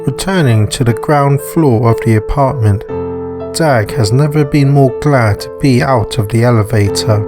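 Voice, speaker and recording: A man's voice: medium pitch, moderate pace, over music. A man reading scripted narration for a visual novel as its narrator. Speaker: narrator and author.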